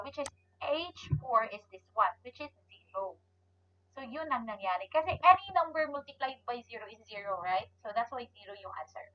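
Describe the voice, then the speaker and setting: A woman with a Filipino accent teaching an informal step-by-step tutorial, mixing English and Tagalog and often checking that listeners follow. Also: on the high side. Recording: muffled lo-fi audio, noticeable hum.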